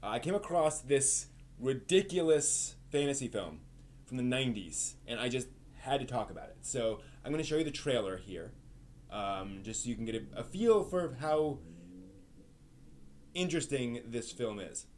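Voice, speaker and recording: A man hosting a live stream in casual, halting chat, circling back over his phrases. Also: mid-range voice, lively intonation, a little mellow, a little hiss or hum. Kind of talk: casual rambling chat about anime.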